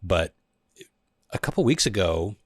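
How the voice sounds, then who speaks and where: A man with a low, slightly husky voice hosts a podcast in a loose, profane, chatty way. The sound is dry and close, with no room around it. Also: measured pace, dry recording. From Pacific Northwest of the US. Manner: relaxed, swearing often. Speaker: podcast host. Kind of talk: casual chat.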